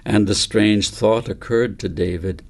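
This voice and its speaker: A man reads a story aloud as an audiobook narrator. His voice sits fairly low, with a very gravelly, creaky edge. He phrases things gently and deliberately, in a measured storytelling manner. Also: fairly even intonation. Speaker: author reading own book aloud.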